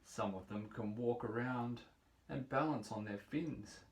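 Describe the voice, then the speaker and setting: A man reading a rhyming picture book aloud for young children in a measured, playful storytelling manner. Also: mid-range voice. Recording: roomy echo, distant microphone.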